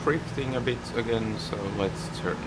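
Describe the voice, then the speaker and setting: A man from Finland with a Finnish accent, live-streaming a flight simulator and thinking aloud in halting, unhurried short phrases. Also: mid-range voice, very noisy.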